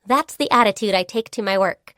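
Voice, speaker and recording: An actress playing a gentle, diligent, shy and youthful half-beast secretary in a video game, speaking politely and earnestly. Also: female voice, mid-range voice, a little fast, no room sound, studio quality, natural voice. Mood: earnest, formal. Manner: polite, earnest, hesitant when flustered. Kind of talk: video game character voice lines.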